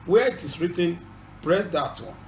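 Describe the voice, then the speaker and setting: High-voiced Yoruba man, echoey room. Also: big pitch swings, normal speed, creaky, darkish tone, a little hiss or hum. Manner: earnest, repetitive, formal instructions. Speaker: channel host and presenter. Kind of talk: informative bilingual presentation.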